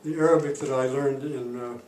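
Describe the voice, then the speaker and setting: Halting older man in a roomy room. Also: medium pitch, steady tone, darkish tone. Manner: halting, many pauses and fillers. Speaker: retired diplomat interviewee. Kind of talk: oral history interview. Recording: roomy, distant mic, faint noise.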